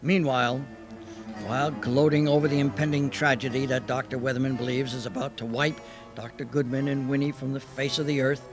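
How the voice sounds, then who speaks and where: A man's voice: mid-range voice, flat delivery, brisk, over music. A man giving scripted narration as the narrator of an old-time radio drama.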